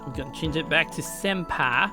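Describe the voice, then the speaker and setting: A woman's voice: low-pitched, normal speed, over music. A woman doing a casual, slangy Let's Play, chatting and reading visual novel text aloud in a playful, informal way.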